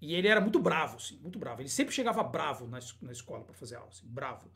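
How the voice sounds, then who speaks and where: Um homem apresentando um podcast de entrevista, com interjeições animadas e rápidas. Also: male voice, a little high, big pitch swings, a bit bright. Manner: quick, enthusiastic interjections. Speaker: podcast host. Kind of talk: casual interview chat.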